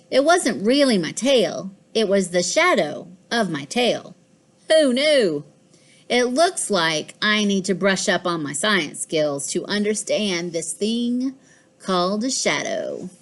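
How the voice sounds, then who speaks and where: Woman as a self-important pet cat, children's storybook reading, unhurried pace, medium pitch, expressive, fussy. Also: heavily acted. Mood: puzzled, amused. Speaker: author reading own children's book. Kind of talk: scripted children's storybook narration.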